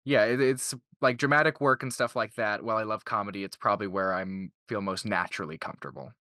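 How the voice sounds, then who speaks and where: A man's voice: on the low side, fast talker, bright, forceful tone, no echo, clean studio sound. A man of twenty-two from Canada chatting casually as a voice actor in an interview.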